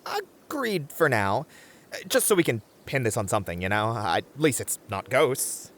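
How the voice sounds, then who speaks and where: Muscular rabbit-man camper played by a man: wry, hesitant reasoner, very expressive mid-pitched voice, comedic roleplay. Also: normal speed. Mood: wary, thoughtful. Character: muscular bunny man camper, sensible, easily flustered monster tracker. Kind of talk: comedic audio roleplay acting.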